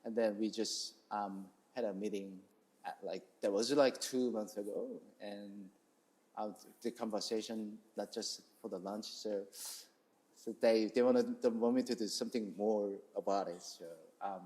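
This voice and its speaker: A man's voice: on the low side, steady tone, moderate pace, a little mellow, poor audio. A man from South Korea with a Korean accent, talking casually as a chef being interviewed, in hesitant, non-native English phrasing. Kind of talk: casual interview, telling their story.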